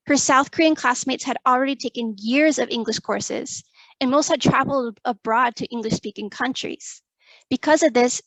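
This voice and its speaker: A young adult woman, a graduate student, presenting her research in a careful, measured read with a few restarts. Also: high voice, a bit bright, no room sound, rough recording. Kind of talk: reading a prepared research talk.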